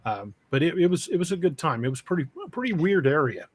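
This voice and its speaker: A man's voice: a little high, big pitch swings, quick pace, darkish tone, breathy edge. An American man hosting a casual livestream podcast in an informal, conversational way. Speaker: podcast host.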